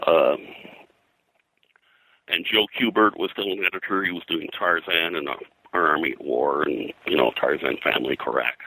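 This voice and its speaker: Deep, bright-voiced older American man. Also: creaky, dry recording, low-quality recording. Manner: halting, with frequent verbal filler. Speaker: interview guest, veteran comics colorist. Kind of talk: rambling reminiscence in conversation.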